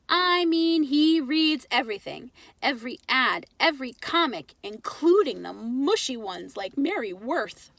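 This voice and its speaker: A woman reading a children's book aloud as an expressive storyteller. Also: high-pitched, dry recording.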